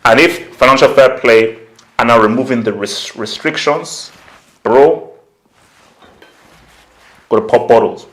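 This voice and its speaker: A man's voice: medium pitch, a little slow, long pauses, low-quality recording. Emphatic, slangy man talking football. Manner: slangy, conversational, emphatic repetition, acts out imagined quotes. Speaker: football YouTuber, channel host. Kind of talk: casual opinionated football commentary.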